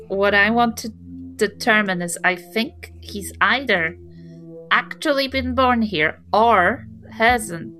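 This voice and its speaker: A woman's voice: mid-range voice, sharp tone. A woman with a New Zealand accent playing a tabletop role-playing game, chatting casually in character.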